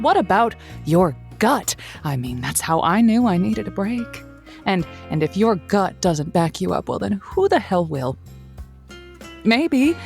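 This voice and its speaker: An actress playing a wry late-night radio advice host with a slow, sultry on-air delivery. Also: female voice, medium pitch, a little mellow, close to an everyday voice.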